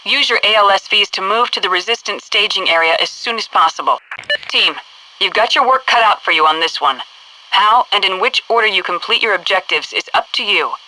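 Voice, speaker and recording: An actress playing a composed, commanding headquarters officer who gives crisp, businesslike mission orders over military radio in a video game. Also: female voice, lowish voice, bright, forceful tone, slight background noise, low-quality recording.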